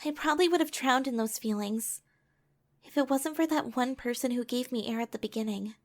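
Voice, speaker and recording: High-voiced, earnest young heroine played by a woman. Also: a little fast, close to an everyday voice. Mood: sorrowful, reflective. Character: young woman, earnest, wounded, insecure narrator of her past. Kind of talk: dramatic audio drama acting and narration. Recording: music bed behind the voice.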